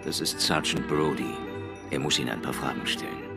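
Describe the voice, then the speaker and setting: An actor playing a laconic police detective with dry replies in a radio drama. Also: male voice, extremely low pitch, a little fast, creaky, loud music behind the voice. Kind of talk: German radio play acting.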